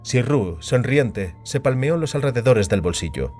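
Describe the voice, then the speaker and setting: A man's voice: medium pitch, expressive, measured pace, darkish tone. A man narrating a fantasy audiobook in a scripted, dramatic storytelling style. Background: music.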